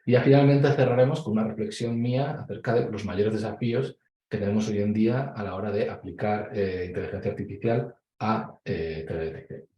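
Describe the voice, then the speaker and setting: A man's voice: on the low side, steady tone, muffled recording. Spanish-accented man in an echoey room. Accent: Spain Spanish. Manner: measured and explanatory, with frequent hesitations. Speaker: conference speaker. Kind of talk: online conference presentation.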